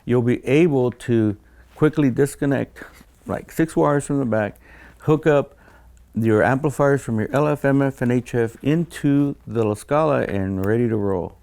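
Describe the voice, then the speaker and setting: A man speaking as a design engineer in an explanatory interview, measured and technical, often pausing mid-phrase. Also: medium pitch, vocal fry, darkish tone.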